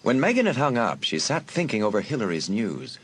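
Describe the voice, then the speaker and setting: A man narrating an audiobook drama in a measured, suspenseful way. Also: medium pitch, huge pitch swings, slight background noise. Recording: narration over a music bed.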